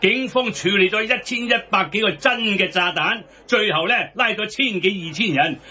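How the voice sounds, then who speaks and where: An actor playing a blunt, combative fat man who lectures in Hong Kong Cantonese in a radio drama, with a husky voice. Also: high-pitched, normal speed, sharp tone, heavily acted. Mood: emphatic, lecturing. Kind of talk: satirical radio drama acting. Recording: dull, band-limited broadcast audio.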